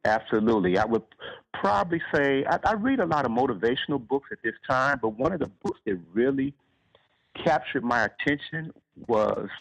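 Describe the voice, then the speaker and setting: A man's voice: medium pitch, lively intonation, moderate pace, vocal fry, a bit bright. A man from Chicago with an American accent, giving conversational answers as an interview guest. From Chicago, United States. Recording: dull, lo-fi band-limited sound.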